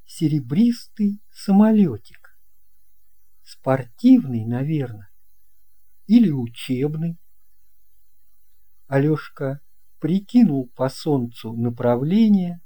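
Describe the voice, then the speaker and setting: A man narrating a children's tale as an audiobook reader, in a measured, expressive way. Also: a little high. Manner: slow, measured, expressive reading.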